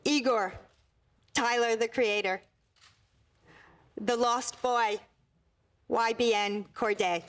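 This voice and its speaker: A woman reading award nominee lists aloud and sounding excited. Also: a little high, slow, pauses often. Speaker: nominations announcer.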